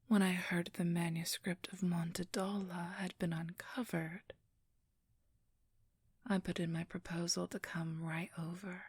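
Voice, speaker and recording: A woman playing a cunning, haughty supernatural thief who poses as a scholar, speaking in a soft, precise, formal whisper for an ASMR roleplay. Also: on the low side, little pitch movement, unhurried, husky, dry recording. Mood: scholarly, assured.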